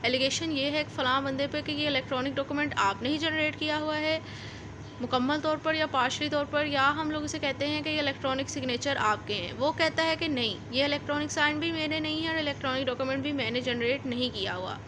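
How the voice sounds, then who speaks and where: A woman's voice: high-pitched, noisy background. A woman with a Pakistani accent gives an explanatory law lecture, going over points informally and repeating them as a teacher does. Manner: reads formal text slowly, then explains informally with repetition.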